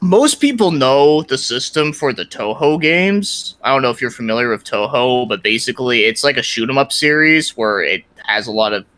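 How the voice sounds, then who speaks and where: A man's voice: mid-range voice, big pitch swings, normal speed, few pauses, pushed and bright, no echo. A young man chatting casually as a podcast guest about his interests, enthusiastic, slangy and rambling. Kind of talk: casual gaming podcast chat.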